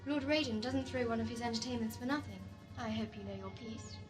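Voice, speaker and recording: Woman acting an arch, high-voiced official. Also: a little fast, over music, lightly acted. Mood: cool, arch. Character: cool, condescending female official, slyly mocking. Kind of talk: audio drama acting.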